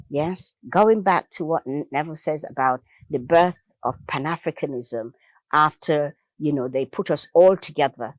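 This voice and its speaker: A woman's voice: sits low, dry recording. A woman speaking as an interview guest, emphatic and impassioned, repeating phrases for weight. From Jamaica. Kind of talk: impassioned discussion.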